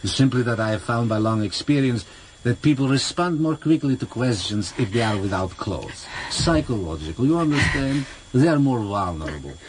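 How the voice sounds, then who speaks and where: An actor playing a cultured, mockingly courteous older foreign interrogator, formal and deliberate, in a vintage radio drama. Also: male voice, mid-range voice, lively intonation, lightly acted. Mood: menacing, polite. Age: middle-aged or older. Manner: formal, deliberate, mock-polite. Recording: slightly noisy vintage radio recording.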